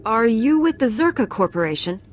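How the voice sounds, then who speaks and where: A woman's voice: on the high side, sing-song, fairly quick, soft background music. An actress playing a resolute, serious female Jedi Knight in a video game, direct and terse. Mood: firm, probing.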